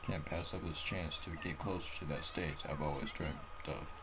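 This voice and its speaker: A man's voice: a bass voice, fairly even intonation, moderate pace. A man reading visual novel narration and dialogue aloud, sometimes stumbling over the written words. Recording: clear background noise, clicking.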